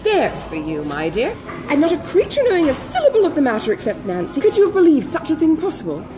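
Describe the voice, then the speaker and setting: A woman voicing an excitable, good-hearted older society lady who gossips in breathless exclamations, in a classic novel audiobook. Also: sits high, fast talker, music in the background, voice pushed up. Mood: astonished, gossiping.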